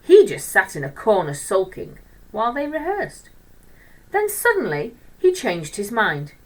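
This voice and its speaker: A woman reads a story aloud for children. Her voice sits at a middling pitch and moves up and down in a lively, expressive way as she narrates. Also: a little slow, recorded from a distance, low-quality recording.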